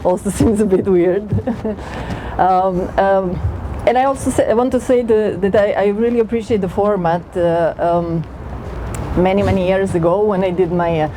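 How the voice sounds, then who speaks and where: A woman's voice: mid-range voice, moderate pace, dark tone, low-quality recording. A woman from northern Serbia, a research fellow speaking on an outdoor city tour in a hesitant, friendly way with many fillers. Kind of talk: talk on a guided city tour. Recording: outdoor street noise. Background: street traffic.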